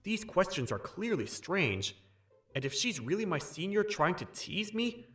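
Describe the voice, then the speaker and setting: A man acting an earnest, put-upon young office worker who narrates and voices his troubles in a manga dub, with expressive delivery. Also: sits high, unhurried, heavily acted. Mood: puzzled.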